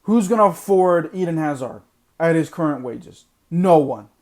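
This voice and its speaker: A man with a high voice hosts a sports podcast and rants bluntly, hammering his points home by repeating them. His voice is going hoarse because he is losing it. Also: animated, moderate pace, a little mellow. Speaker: podcast host. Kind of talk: opinionated sports podcast monologue.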